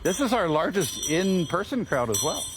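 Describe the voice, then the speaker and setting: An American man hosting an informal outdoor livestream, addressing viewers and a small crowd in an earnest, deliberate, speechmaking way. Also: high voice, sing-song. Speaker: livestream host and organizer of a public reading. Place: outdoors on a town street. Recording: very noisy outdoor livestream with ringing bells. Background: loud ambient noise and a ringing bell.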